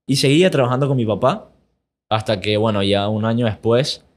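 A young man with a Panamanian Spanish accent talks as a guest in a casual podcast chat. He is relaxed, repeats himself as he finds his words, and laughs often. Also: medium pitch, a little fast. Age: young adult.

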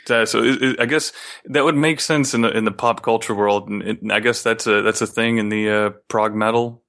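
A man's voice: on the low side, fairly even intonation, a little fast, a bit bright. A man in his early thirties co-hosting a podcast and asking questions in casual chat. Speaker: podcast co-host.

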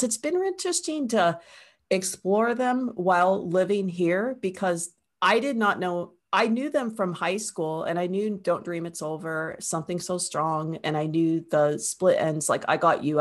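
A woman's voice: lowish voice, unhurried. A middle-aged American woman from Northeast Ohio, a university teacher, chatting enthusiastically and rambling with fillers on a video call. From Northeast Ohio, USA. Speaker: guest, university media studies teacher. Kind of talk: casual chat on a call.

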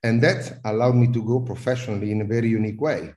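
Man, Italian accent, middle-aged, low pitch, mellow tone, hesitant, repeats words, podcast guest. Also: steady tone, quick pace. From Italy. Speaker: guest, cruise industry advisor. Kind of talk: podcast interview.

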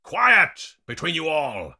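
Man acting a snarling, taunting male captor, forceful. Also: low voice, expressive, slow, heavily acted. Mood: snarling, commanding. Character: cruel, taunting male captor, commanding and sneering.

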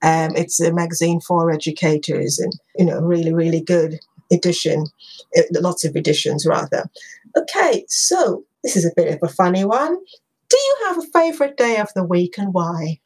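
A woman hosting an interview podcast, encouraging and quick to laugh. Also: fairly low pitch, expressive, a little mellow, breathy edge, poor audio. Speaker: podcast host.

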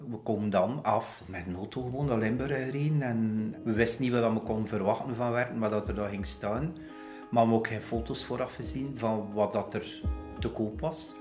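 A man's voice: on the low side, moderate pace, a little mellow, echoey room, soft background music. A man recounting events in a plain way, adding agreeing asides. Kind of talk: casual interview.

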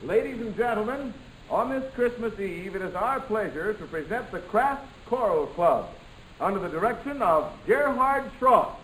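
High-pitched formal announcer, echoey hall. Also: male voice, expressive. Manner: projected, measured. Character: formal male concert announcer addressing an auditorium. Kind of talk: formal stage announcement in 1940s radio. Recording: echoey, noisy old radio broadcast.

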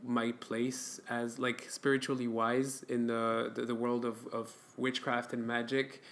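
A young man from France talks slowly in a relaxed podcast chat. His voice sits at an ordinary middle pitch. He hesitates often, with fillers and restarts as he gives advice. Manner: halting, many fillers and restarts. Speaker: podcast co-host. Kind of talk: casual chat.

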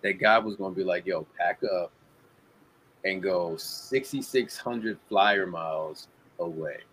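A young man as a guest, chatting casually and acting out the lines he quotes in lively voices. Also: sits low, measured pace, a little hiss or hum, low-quality recording. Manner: animated storyteller, acts out quotes. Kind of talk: casual interview talk. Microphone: video call.

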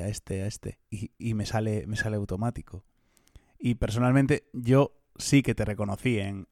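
Male interviewer, low and gravelly, dark tone, eager rambling questions. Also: no room sound, clean studio sound.

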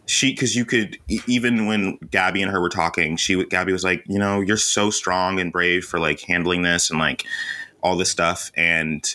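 Deep-voiced man, bright forceful chat. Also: fairly even intonation, moderate pace. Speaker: podcast host. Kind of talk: casual TV recap chat.

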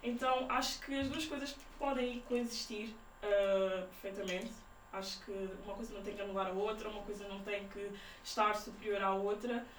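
A woman's voice: medium pitch, steady tone, fairly quick. A young woman with a Lisbon-area Portuguese accent, talking informally as a podcast guest and thinking aloud in broken phrases. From Portugal, Sintra area. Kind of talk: casual conversational interview. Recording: roomy, distant mic, faint noise.

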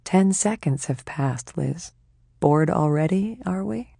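A woman playing a serene older meditation teacher, calm and gently amused, speaking slowly in a warm, low voice. The recording is dry and close. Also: breathy edge, no room sound.

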